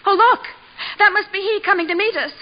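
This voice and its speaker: A woman's voice: extremely high pitch, a little fast. An actress playing a sugary, melodramatic young woman with gushing, overwrought delivery in an old-time radio soap parody. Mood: bright gushing. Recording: muffled old radio recording, faint noise.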